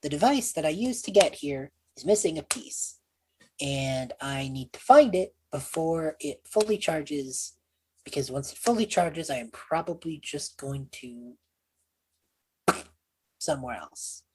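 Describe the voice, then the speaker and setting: A woman's voice: low voice, expressive, unhurried, a little mellow, slight background noise, voice pushed down, low-quality recording. A woman playing an earnest, anxious young male time traveler in a tabletop game, thinking aloud hesitantly. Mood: worried musing.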